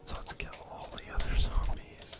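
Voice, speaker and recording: Young man whispering softly. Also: normal speed. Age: young adult. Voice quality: soft breathy whisper. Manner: casual, gently chatty. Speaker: gaming ASMR video host. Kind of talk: whispered ASMR game commentary. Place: indoor room at home.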